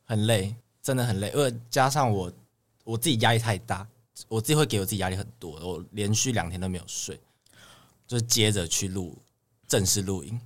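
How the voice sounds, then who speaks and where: Young male idol guest, lower register, Taiwanese Mandarin, earnest and conversational. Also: a little fast. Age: young adult. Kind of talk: casual interview chat.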